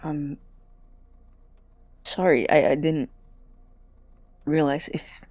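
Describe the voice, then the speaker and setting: A man acting in an audio drama as an awkward, earnest, apologetic college student who stammers and speaks softly. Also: on the high side, level tone, drawn-out words, no room sound. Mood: apologetic, flustered. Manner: hesitant, stammering, soft and breathy when sincere.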